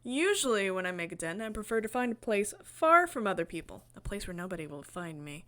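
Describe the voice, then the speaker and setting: A woman's voice: mid-range voice, big pitch swings, fairly quick, bright, forceful tone. A woman streaming a visual novel, reading the narration aloud in a casual way with her own commentary. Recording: game music behind the voice. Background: guitar game music.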